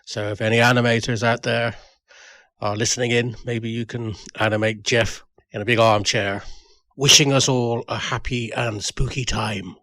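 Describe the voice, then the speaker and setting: Male writer, mid pitch, self-deprecating, sharing personal memories in a spoken tribute; dry sound. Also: fairly even intonation, normal speed, sharp tone, dry recording. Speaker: writer paying tribute to a friend.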